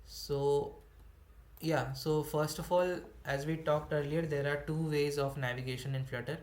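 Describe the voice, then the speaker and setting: A man's voice: fairly high pitch, steady tone, unhurried, slight background noise. Young Indian man teaching in an echoey room. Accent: Indian English. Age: young adult. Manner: explains step by step with frequent fillers and restarts. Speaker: student instructor. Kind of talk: online coding workshop. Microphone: computer mic on a video call.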